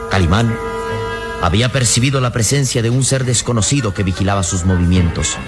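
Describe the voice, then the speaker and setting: A man's voice: lowish voice, fairly quick. A man narrating a radio adventure serial in a solemn, declamatory, suspenseful style. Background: faint music.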